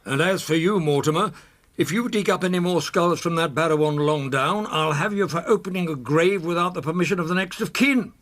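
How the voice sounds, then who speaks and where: An actor playing a cantankerous, litigious country neighbour in a radio drama, with a blustering, pointed delivery. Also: male voice, a little high, brisk, fairly bright tone, lightly acted. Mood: menacing.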